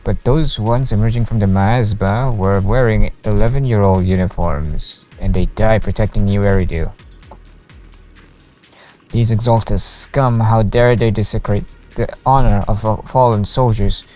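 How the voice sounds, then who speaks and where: Guy streaming a game, kinda deep voice, mumbling reactions and reading stuff out, soft music behind. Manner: muttering short reactions, halting when reading aloud. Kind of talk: casual game stream commentary, reading on-screen text aloud. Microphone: streamer microphone over game audio. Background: faint game music.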